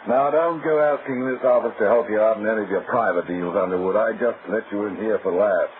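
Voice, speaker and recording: No-nonsense sardonic DA in old radio detective show, lowish voice, tinny. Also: male voice, brisk, slightly rough. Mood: brusque, sardonic. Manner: brusque, sardonic, clipped. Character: gruff, skeptical, no-nonsense district attorney. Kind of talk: old-time radio drama acting.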